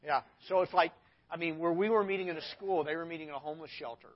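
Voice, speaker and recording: A man's voice: on the high side, fast talker. A man, a pastor hosting a casual interview, talking in a rambling way with restarts. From Arkansas. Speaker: pastor and host. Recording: dull lo-fi audio.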